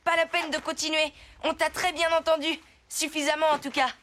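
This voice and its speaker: A woman playing a friendly, forthright young boy hero in an animated series. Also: high-pitched, brisk, slight background noise. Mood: matter-of-fact. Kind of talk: animated series character acting.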